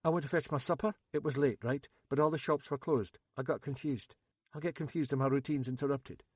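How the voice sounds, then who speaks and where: A man's voice: on the high side, brisk, no echo, clean studio sound, pitched above the performer's own voice, natural voice. Man voicing a jittery Scottish lad. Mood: nervous. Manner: excitable, rambling, self-pitying. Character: jittery battered young man, anxious.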